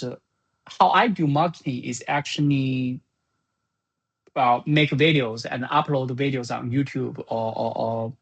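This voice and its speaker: A slow-talking speaker with a husky, slightly rough voice at a medium pitch. The delivery is informal and halting, like someone thinking aloud while going through meeting notes on a project. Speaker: software creator presenting business plan.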